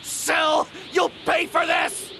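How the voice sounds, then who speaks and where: A woman playing a small, feisty, devoted husband and fighter in an English anime dub, earnest and excitable. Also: extremely high pitch, takes it slowly, creaky, background noise, full character voice. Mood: furious, defiant. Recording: loud music behind.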